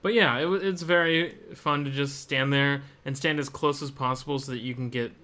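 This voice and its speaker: A man's voice: mid-range voice, steady tone, brisk, bright, forceful tone. A man co-hosting a podcast and chatting casually about video games. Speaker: podcast co-host. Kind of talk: casual video game chat.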